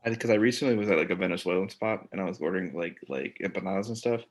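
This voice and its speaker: A man's voice: sits low, racing. A man, co-hosting a casual podcast chat, rambling with many fillers and restarts. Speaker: podcast co-host.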